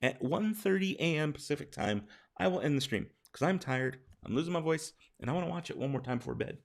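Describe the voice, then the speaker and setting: Man, tired, hoarse, gravelly, a bit high-pitched, casual stream chatter, answers questions from chat. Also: lively intonation, fast talker. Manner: chatty, reads out viewer questions and answers them.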